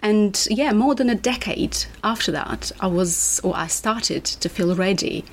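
A woman in her forties from Moldova, whose first language is Romanian, talking about her writing as a guest author in a relaxed interview, reflective and hesitant with many fillers. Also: mid-range voice, unhurried. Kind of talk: casual interview.

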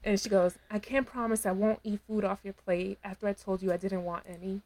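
A woman co-hosting a podcast, recapping a reality show in casual chat, animated, laughing often and acting out quotes. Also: medium pitch. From Dominican heritage. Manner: animated, talks with her hands, quick laughs, acts out quotes. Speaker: podcast co-host.

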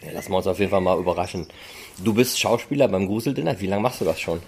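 Low-voiced man hosting a chatty podcast. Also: lively intonation, rapid-fire, vocal fry, a little mellow, a little hiss or hum. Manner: chatty, loosely phrased questions. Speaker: fan podcast host and interviewer. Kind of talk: informal interview.

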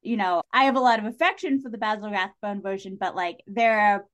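A woman speaking as a podcast guest in casual, animated chat, giving her views informally and with emphasis. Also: medium pitch, brisk. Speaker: podcast guest discussing a TV series.

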